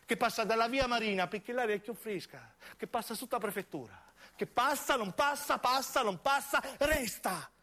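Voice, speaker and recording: Impassioned southern Italian man, high and swooping. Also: lively intonation, moderate pace, hardly any pauses, sharp tone. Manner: impassioned, emphatic, repeating phrases. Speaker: narrating actor. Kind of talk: dramatic theatrical monologue for radio.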